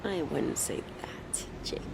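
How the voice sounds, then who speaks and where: An actress playing a troubled, guarded young woman in a noir audio drama, with a cool, coy, deliberate delivery. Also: in a whisper, lightly acted. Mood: wary. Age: young adult.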